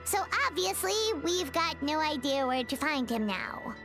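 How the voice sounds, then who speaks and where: A woman voicing a tiny, excitable girl companion in a video game, chirpy and quick to blurt out reactions. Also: high-pitched, gravelly, soft background music, exaggerated voice.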